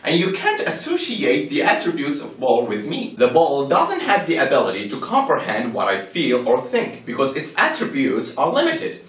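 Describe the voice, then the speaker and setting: Echoey, animated man arguing his case. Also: medium pitch, normal speed, hardly any pauses. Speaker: presenter of a religious argument. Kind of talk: scripted persuasive narration, rhetorical questions. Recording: echoey room, distant from the mic.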